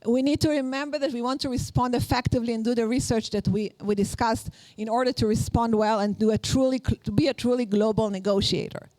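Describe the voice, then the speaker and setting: A woman's voice: a little high, fast, hardly any pauses, a little mellow, no echo, poor audio. A woman from Israel with an Israeli accent, giving a live workshop lecture on negotiation in an earnest, explanatory way with many fillers and restarts.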